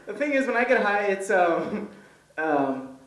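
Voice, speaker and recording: Young man, native Hebrew speaker, stand-up comedian, hesitant rambling delivery, slightly high voice, echoey room, distant mic. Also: big pitch swings, measured pace, fairly bright tone. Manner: rambling, hesitant with many ums, laughs at own jokes. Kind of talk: first-time stand-up set. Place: comedy event stage. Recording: roomy, voice from far off.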